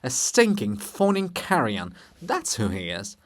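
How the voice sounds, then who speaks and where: Guy doing a cranky bearded historian statue, ranty and scornful, pitch all over the place, farce play. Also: wildly swooping pitch, measured pace. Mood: scornful ranting. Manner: ranting, emphatic, scornful. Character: bronze monument of a bombastic, irascible bearded male historian.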